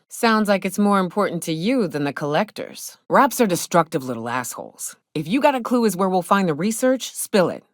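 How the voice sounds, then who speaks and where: An actress playing a hard-drinking, trigger-happy but loyal frontier mercenary in a video game, with folksy, salty, sardonic phrasing. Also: female voice, on the low side, dry recording, clean studio sound. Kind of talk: video game character dialogue.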